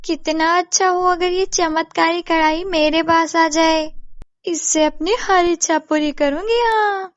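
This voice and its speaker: A scheming, envious young village woman from a moral tale. Her voice is piercingly high and drawn out, with gloating exclamations. The recording is close, dry and a little dull. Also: fairly even intonation, unhurried, dry recording. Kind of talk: melodramatic storybook acting.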